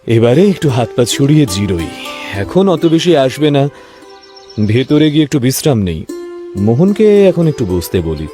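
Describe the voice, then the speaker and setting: A man's voice: mid-range voice, big pitch swings, normal speed, pauses often, dark tone, faint music behind, close to an everyday voice. A man playing an ageing, principled sweet-shop owner and stern father in a cartoon tale, speaking earnestly and emphatically. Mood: genial. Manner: earnest, indignant when crossed, emphatic repeated questioning. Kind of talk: cartoon fairy-tale voice acting.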